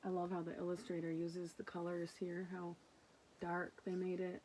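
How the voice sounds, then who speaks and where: A woman reading a children's picture book aloud in an expressive way, with casual asides. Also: fairly low pitch, slight background noise, poor audio. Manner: animated, unhurried narration. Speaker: storybook reader.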